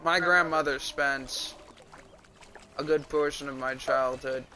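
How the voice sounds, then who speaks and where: A man's voice: mid-range voice, steady tone, slow pace, bright, forceful tone, a little hiss or hum. A man chatting casually on a live stream, explaining his reasoning in an analytical way. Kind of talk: casual chat. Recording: music behind the voice.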